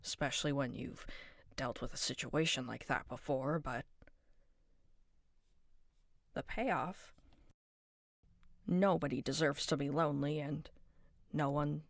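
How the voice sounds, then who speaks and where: A woman voicing a gentle, wise older male cartoon chess piece who speaks softly and slowly to console, at times hoarse and nasal. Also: sits low, steady tone, no echo, clean studio sound, full character voice. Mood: consoling. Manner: soft, slow, consoling. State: sometimes hoarse and nasal from being sick.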